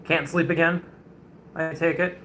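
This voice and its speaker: A young man in his twenties talks casually to his livestream audience, with a bright, forward tone. He rambles a bit, greets his viewers, and chuckles often as he goes. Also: on the high side, flat delivery, unhurried, a little hiss or hum. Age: 20s. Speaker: streamer. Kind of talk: casual chat on a painting livestream.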